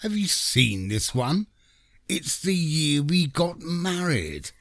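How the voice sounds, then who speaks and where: A man's voice: on the high side, sing-song, slow pace, fairly bright tone, no echo, studio quality, heavily acted. A man playing a flippant, teasing husband of sixty in a radio drama.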